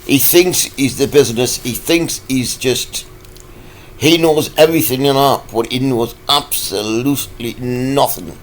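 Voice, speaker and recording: A man, croaky from a cold, ranting in a rambling, halting vlog full of fillers. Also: mid-range voice, a little hiss or hum. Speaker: vlogger.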